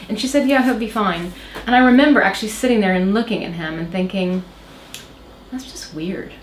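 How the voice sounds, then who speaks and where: A woman's voice: mid-range voice, brisk. A woman in her thirties from Oklahoma, American with a slight Irish lilt, telling a personal story hesitantly, with many fillers and restarts. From Oklahoma, USA. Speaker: interview guest. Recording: roomy echo, faint background noise.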